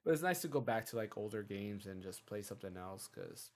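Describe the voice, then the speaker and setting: A man hosting a casual gaming podcast, chatty and rambling, a bit hoarse from a cold. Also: lowish voice, lively intonation, a little fast, a little mellow. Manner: chatty, rambling, self-interrupting. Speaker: podcast host.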